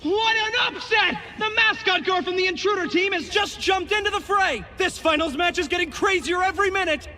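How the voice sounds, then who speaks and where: Very high-pitched, bombastic match announcer. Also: male voice, fairly quick, few pauses, a little hiss or hum, full character voice. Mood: excited, urgent. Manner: bombastic, shouted delivery. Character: excitable male tournament announcer calling a wild match. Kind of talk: play-by-play commentary in anime dub.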